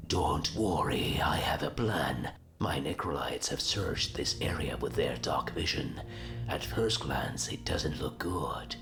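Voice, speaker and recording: A man voicing a sinister, calculating orc warlock in a gravelly whispery rasp, briefing slowly in a game cutscene. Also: a bass voice, expressive, music in the background, heavily acted. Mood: grave scheming. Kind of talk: video game cutscene acting.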